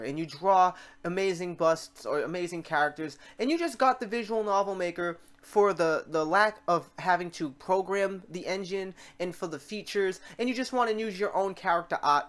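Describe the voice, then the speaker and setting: A woman hosting an informal software tutorial, talking in a chatty, rambling way and often correcting herself. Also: fairly low pitch. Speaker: tutorial host.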